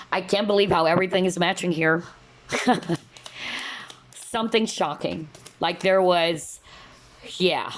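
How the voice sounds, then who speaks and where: A woman's voice: low-pitched, a little hiss or hum. A woman giving a casual tarot reading to viewers, chatty and full of asides, her voice slightly nasal as she loses it. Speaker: tarot reader.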